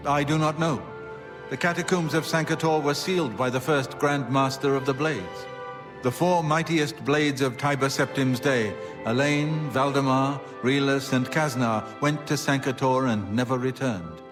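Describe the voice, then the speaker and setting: Man playing solemn knightly grand master, mid-pitched, measured, formal, dutiful, faint music behind, fantasy video game. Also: lightly acted.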